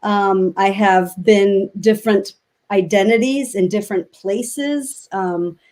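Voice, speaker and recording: A woman from Mexico, a native Spanish speaker, speaking as a guest on a video call and talking reflectively with many pauses and fillers. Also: medium pitch, a little mellow. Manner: reflective, halting with many ums. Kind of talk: casual interview chat.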